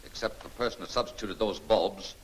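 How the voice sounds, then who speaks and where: A shrewd, composed gentleman detective played by a man in a 1940s film serial. His voice sits very low and moves quickly, over the steady noise of an old film soundtrack. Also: close to an everyday voice. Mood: calm, probing. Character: calm, shrewd gentleman amateur detective, secretly a vigilante. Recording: noisy 1940 film soundtrack.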